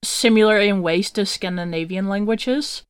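A woman's voice: medium pitch, normal speed, smooth, no echo. A young woman whose first language is Dutch, chatting as an interview guest, laughing often and acting out what others said. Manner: chatty, laughs often, quotes people in lively voices. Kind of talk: casual interview chat.

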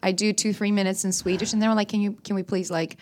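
A woman's voice: mid-range voice, fairly even intonation, fast talker, darkish tone, slight background noise. Laughing Latvian-raised woman chatting. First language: Russian. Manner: candid, laughs often, swears casually. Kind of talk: casual chat.

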